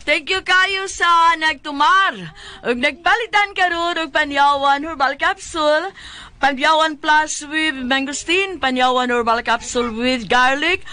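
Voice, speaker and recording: A Cebuano woman delivers a radio advertisement. Her voice is high and very bright, and she explains directions in an instructive, repetitive way. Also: a little slow. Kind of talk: product advertisement read.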